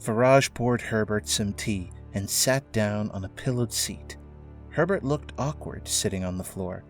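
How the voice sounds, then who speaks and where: Male narrator, lowish voice, scripted storytelling for drama, soft music bed. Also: a little slow. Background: faint music.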